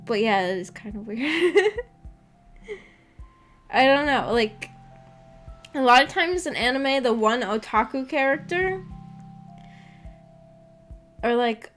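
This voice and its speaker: High-voiced woman streamer chatting slowly. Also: expressive. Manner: hesitant, rambling, giggly. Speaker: streamer and host of a visual novel book club. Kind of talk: casual livestream chat, reacting to viewer comments. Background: background music.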